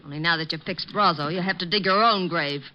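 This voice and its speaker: A woman's voice: on the low side, fast talker, pushed and bright. A scornful young femme fatale in a vintage radio detective show. She is hard and bossy, with menace in her orders. The old recording is muffled, with some noise behind her. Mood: menacing. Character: ruthless young femme fatale killer holding captives at gunpoint. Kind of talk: old-time radio detective drama acting.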